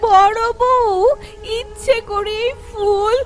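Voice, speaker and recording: A woman's voice: extremely high pitch, steady tone, extremely slow, music in the background, full character voice. A woman playing a lazy, sly, grabby young daughter-in-law in a fairy-tale cartoon, whiny and boastful. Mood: pained, accusing.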